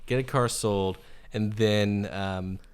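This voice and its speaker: A man's voice: fairly low pitch, fairly even intonation, slow pace, clear, resonant tone. A man in his thirties hosting a podcast interview, chatty and loose, with many fillers and restarts. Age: 30s. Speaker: podcast host. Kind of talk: casual interview.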